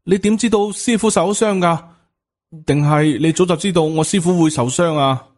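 A man's voice: a little high, moderate pace, darkish tone, voice pushed up, lightly acted. Man acting resentful, accusing young disciple. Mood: sarcastic, suspicious. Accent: Hong Kong Cantonese. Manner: cold, sarcastic, accusing. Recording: music under the voice.

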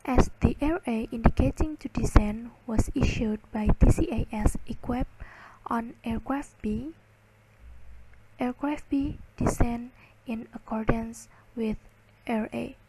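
A woman giving a slide lecture, reading and explaining in halting, word-by-word phrases. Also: on the high side, very noisy.

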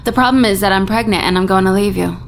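An actress playing an earnest, fed-up 1950s bohemian housewife, speaking firmly in radio drama style. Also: female voice, fast, gravelly, natural voice. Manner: firm, declarative. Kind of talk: retro radio drama acting. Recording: noisy with low rumble.

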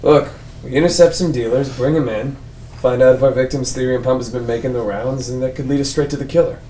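A man's voice: medium pitch, fairly even intonation, quick pace, dark tone, lightly acted. A brash male police detective for a film drama, read by an actor. He is cocky, casual and slangy in a mid-range voice. The room echoes, with the mic a little away. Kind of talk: table read of a film script. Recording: echoey room, distant mic, faint noise.

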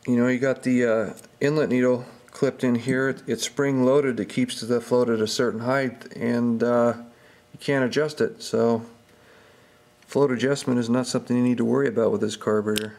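Male repair instructor, medium pitch, flat intonation, halting and matter-of-fact, unscripted how-to delivery. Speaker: small engine repair instructor. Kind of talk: unscripted hands-on tutorial narration.

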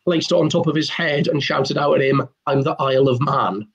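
A man hosting a casual comedy chat over a video call, wry and quick with quips, recorded at home. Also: fairly high pitch, gravelly, crowd noise. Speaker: host.

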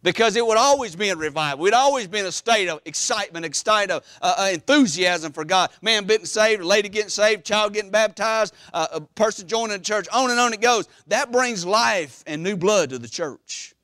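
A middle-aged man preaching an emphatic, animated church sermon, repeating phrases and pausing for weight. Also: high voice, no echo, clean studio sound. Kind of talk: Baptist sermon. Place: church sanctuary, preaching from the platform.

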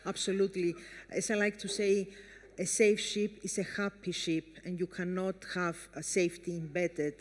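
A woman's voice: on the low side, level tone, normal speed. Formal female moderator in an echoey hall. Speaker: panel moderator. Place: on stage in a conference hall.

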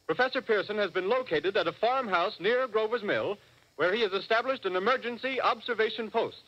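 High, bright 1930s radio announcer. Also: male voice. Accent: American. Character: grave, formal male radio news announcer. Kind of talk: 1930s radio acting, formal news bulletin. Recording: muffled 1930s radio broadcast.

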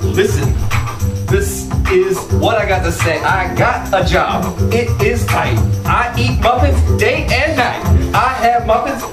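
A young man hosting and playing an improv comedy scene, excitable and ranting in rhymed rap. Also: high-pitched, unhurried. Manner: animated and excitable, rants in rhyme. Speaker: improv performer and scene host. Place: carpeted living room, indoors. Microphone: far camera. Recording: roomy sound from a far camera, loud music.

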